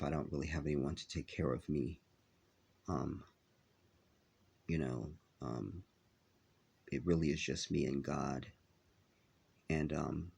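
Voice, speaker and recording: Slow, gravelly congested man. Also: very deep voice. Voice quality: nasal. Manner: halting, many filler pauses. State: stuffy, congested after illness. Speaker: vlogger. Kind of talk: casual vlog talk to viewers.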